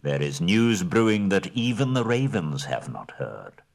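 Kindly old wizard, slow, weighty delivery, read by a man. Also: sits low, very animated, gravelly, exaggerated voice. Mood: reassuring.

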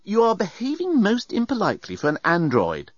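A man's voice: a little high, wildly swooping pitch, brisk, fairly bright tone, no room sound, lo-fi sound, voice pushed up, full character voice. A man voicing a prim, cross woman who speaks in a firm, formal, scolding way in an audiobook story.

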